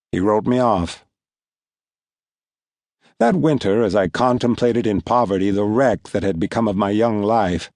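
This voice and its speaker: A man reading literary fiction as an audiobook narrator, in measured, deliberate phrasing. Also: medium pitch, a little mellow, no echo, studio quality. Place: recording studio.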